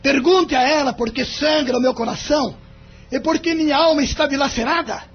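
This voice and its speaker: An actor playing a bitter, wounded husband in an audio drama, with a melodramatic, aggrieved delivery. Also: male voice, extremely high pitch, brisk. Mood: bitter hurt. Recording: dull lo-fi audio with background noise.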